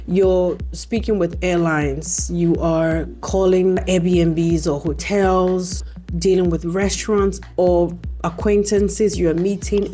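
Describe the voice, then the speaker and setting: A young woman from Ghana talking casually to camera as a travel vlogger, animated and exclaiming, with bursts of laughter. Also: on the low side.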